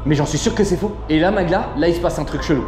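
A young man, a guest streamer, who chats in an excited, jumpy, slangy way while exploring a haunted house. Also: a little high, fast talker. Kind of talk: excited casual chat. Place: indoor haunted house attraction. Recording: echoey rooms with music.

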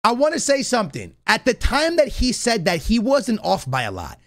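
A man's voice: high voice, huge pitch swings, normal speed, fairly bright tone, slight background noise. A man in his thirties doing slangy, casual reaction commentary as a streamer, given to banter and emphatic repetition. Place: small indoor streaming room. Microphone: close studio microphone on a boom arm.

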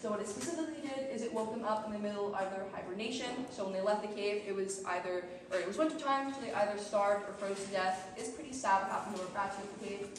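A young woman guiding a cave tour, explaining the formations to a group in a measured, informative way. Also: medium pitch, noisy background. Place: large cave, indoors. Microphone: far camera held by a visitor. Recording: echoey cave recorded from far off.

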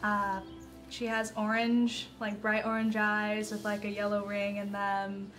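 A woman's voice: medium pitch, monotone, unhurried, fairly bright tone. A young woman playing a tabletop roleplaying game, chatting casually and playfully as she improvises her character. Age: young adult. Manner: informal, playful, frequent "like". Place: indoor studio, seated at a shared table. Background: background music.

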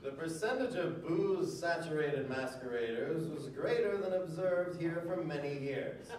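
A man with a fairly high voice delivers old-fashioned newspaper prose to a live room. He declaims it with mock formality. The sound is very reverberant and comes from well away from the microphone. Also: normal speed, a crowd in the background. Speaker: reader at a public event. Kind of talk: dramatic reading of old newspaper articles aloud to an audience. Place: hard-walled room with small stage. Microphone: stand mic, recorded by far camera. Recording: very echoey room from far off.

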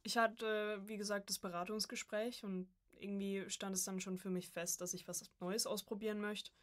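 A woman's voice: medium pitch, flat delivery, normal speed. A woman in her early twenties chatting informally as a podcast guest, telling her story in a casual way. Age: early 20s. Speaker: podcast guest, voice acting student. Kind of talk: casual conversational interview.

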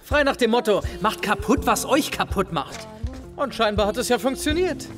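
A man's voice: sits high, lively intonation, normal speed, over music. A young man playing a smug, scheming teenage schoolboy in an audio drama, with a taunting, theatrical manner. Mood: accusing. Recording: long reverberant hall sound.

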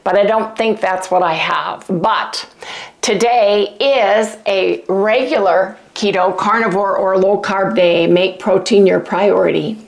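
A sick older woman chats folksily to her viewers from her home kitchen, her voice hoarse and gravelly with frequent throat clearing. She talks in a relaxed, homey way, recorded close on a lapel mic. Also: medium pitch, takes it slowly. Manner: chatty and folksy, addresses viewers as "you guys". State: sick, losing her voice, coughing. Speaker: keto cooking channel host. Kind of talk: casual vlog chat to viewers.

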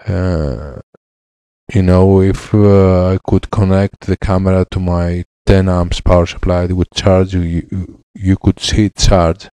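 A man in his thirties with a Greek accent, a repair technician explaining a laptop fix in halting English with many fillers, on a headset mic in his lab. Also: deep voice, level tone, mellow, clean tone, dry recording. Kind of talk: hands-on repair tutorial. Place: electronics repair lab, indoors.

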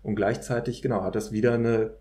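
A man's voice: on the low side, fairly even intonation, a little fast, mellow. A man speaking as an expert guest in an analytical discussion, hesitantly searching for his words. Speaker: guest, political scientist.

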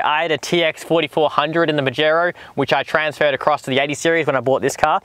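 A man's voice: on the high side, fairly bright tone, no room sound. A man in his twenties with an Australian accent, talking casually and enthusiastically to camera in a vlog review. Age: 20s. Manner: enthusiastic, informal. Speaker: vlogger and presenter. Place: in a car and outdoors in the bush.

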